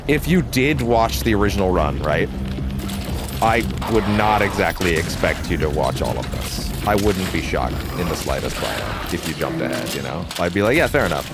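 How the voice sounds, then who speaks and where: A man's voice: low-pitched, normal speed, over music. A man casually commenting on a game he is playing, rambling with many restarts and swearing freely. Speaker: let's play host. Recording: steady background noise.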